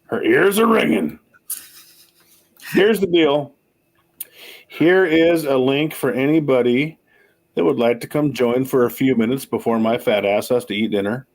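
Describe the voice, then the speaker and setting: A man's voice: mid-range voice, a little slow, pauses often. A man in his forties hosting a casual livestream, rambling and swearing, often mocking himself. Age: 40s. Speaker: livestream host.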